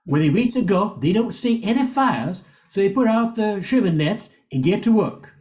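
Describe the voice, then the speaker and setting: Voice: mid-range voice, animated, moderate pace, a little mellow. An older amateur narrator reading a scripted radio play, occasionally stumbling and repeating words.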